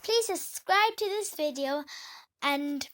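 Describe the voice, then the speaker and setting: A woman reads a children's tale aloud. Her voice sits high, and she takes the narration slowly, as in a read-along for young listeners. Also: lively intonation, fairly bright tone, slight background noise. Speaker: children's story reader.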